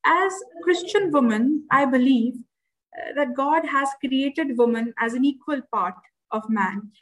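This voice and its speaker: A woman's voice: high-pitched, unhurried, rough recording. A woman from Pakistan giving a friendly conference presentation over a video call, with frequent fillers. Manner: friendly, uses many fillers. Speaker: conference speaker.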